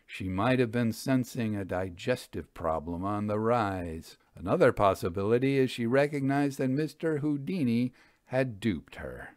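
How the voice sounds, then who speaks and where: Male storyteller for dramatic fiction: medium-pitched, expressive melody, scripted narration. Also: measured pace, no echo, clean studio sound.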